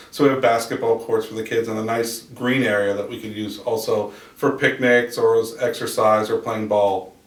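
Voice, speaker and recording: A man of middle pitch speaks plainly and evenly, stumbling now and then over a word. He sits a step back from the mic in a room with a clear echo. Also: recorded from a distance. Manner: plain and even, some stumbles. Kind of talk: interview talk.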